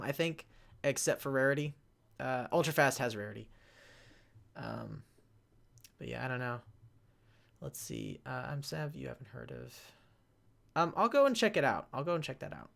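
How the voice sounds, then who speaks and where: A man answering fan questions in a casual live Q&A as a panelist, halting and often rambling as he interrupts himself. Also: medium pitch, vocal fry, a bit bright.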